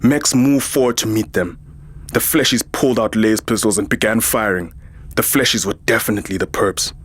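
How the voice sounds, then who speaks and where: Jaded transhuman security chief for audio drama, male actor. Husky lower register, sardonic, proud, narrates his own story. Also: brisk, fairly bright tone. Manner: sardonic, world-weary. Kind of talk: first-person scripted sci-fi narration.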